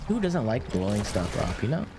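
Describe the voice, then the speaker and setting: A man's voice: lowish voice, big pitch swings, fairly quick, noisy background. A man hosting a gaming video, explaining things in informal, casual gameplay commentary. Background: game music.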